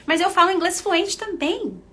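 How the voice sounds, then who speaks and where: Bright-voiced Brazilian woman coaching viewers. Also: very high-pitched, normal speed. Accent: Brazilian Portuguese. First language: Portuguese. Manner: warm, chatty, addresses viewers as friends. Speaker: confidence coach. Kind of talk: casual livestream talk to followers.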